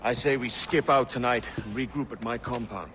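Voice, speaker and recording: A man acts a shrewd pirate in a game cutscene. His voice is medium in pitch, with plain, colloquial, decisive delivery, and there is clear background noise. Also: normal speed, natural voice. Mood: steady, plotting. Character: shrewd, steady male pirate leader, plotting schemer. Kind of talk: video game character acting. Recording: noisy outdoor ambience behind voice.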